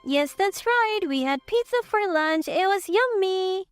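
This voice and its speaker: Female narrator playing sweet small daughter, innocent and childlike, high and bouncy. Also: animated, unhurried, no room sound, soft background music. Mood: cheerful. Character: cheerful little kindergarten girl, the narrator's daughter.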